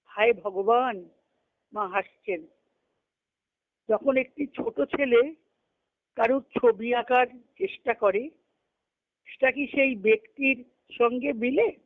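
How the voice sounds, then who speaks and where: A woman's voice: a little high, slow pace, long pauses. A woman reading a spiritual text aloud and explaining it, repeating and rephrasing as she goes, on a phone conference call. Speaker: spiritual discussion leader. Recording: muffled phone-line conference call audio.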